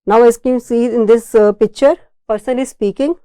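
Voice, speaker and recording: Indian lady teaching engineering, kinda high mellow voice, explains and repeats stuff, very dry sound. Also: fairly even intonation, a little fast, clear, resonant tone, no echo. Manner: didactic, repeats and rephrases points. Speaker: engineering lecturer. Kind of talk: explanatory technical lecture to camera.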